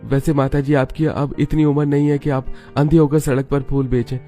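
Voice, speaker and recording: Cartoon role: kind young man on a motorbike, acted by a man, raised pitch, courteous and concerned. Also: flat delivery, normal speed, warm tone, soft background music, natural voice. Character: kind, respectful young male biker helping a stranger.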